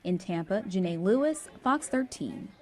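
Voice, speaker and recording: A female TV reporter reads her scripted voice-over in a polished, measured way. Her voice sits at a middle pitch. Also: expressive. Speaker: news reporter. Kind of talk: scripted news narration.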